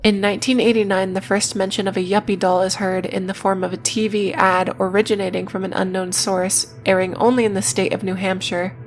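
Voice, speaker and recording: A woman's voice: medium pitch, little pitch movement, brisk, few pauses. A woman narrating a scripted video essay in a matter-of-fact way, with casual, dryly irreverent asides. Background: music.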